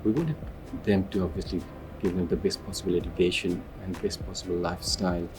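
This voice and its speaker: Deep-voiced man, earnest. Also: fairly even intonation, a little fast. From Bangladesh. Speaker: interviewee. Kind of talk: personal interview. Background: background music.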